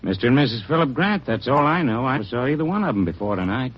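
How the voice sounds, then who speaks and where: An actor playing a chatty, eager-to-please hotel manager who rambles in a folksy way, on a muffled vintage radio broadcast. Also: male voice, medium pitch, expressive, fast, slight background noise, close to an everyday voice. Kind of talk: 1950s radio drama acting.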